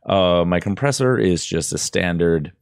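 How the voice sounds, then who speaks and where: Very deep-voiced young man, dry close mic. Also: expressive, a little slow, vocal fry, clear, resonant tone, no room sound. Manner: rambling, many fillers and restarts. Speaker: audio tutorial host. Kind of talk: casual screen-recorded tutorial. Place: small room at a desk. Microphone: studio microphone close up, headphones on.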